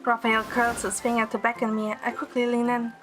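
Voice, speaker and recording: A woman's voice: fairly high pitch, monotone, brisk, over music, close to an everyday voice. A woman playing a wry, affectionate young heroine who narrates her own romance in an audio drama. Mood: narrating. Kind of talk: first-person narration and dialogue in audio drama.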